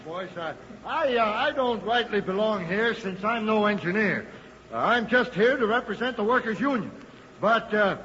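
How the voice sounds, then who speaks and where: A man's voice: sits high, bright, forceful tone, slight background noise, full character voice. An actor playing a blunt, folksy, skeptical union workman in 1950s radio style. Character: plain-spoken, folksy, skeptical working-man union representative. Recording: muffled old radio with crowd.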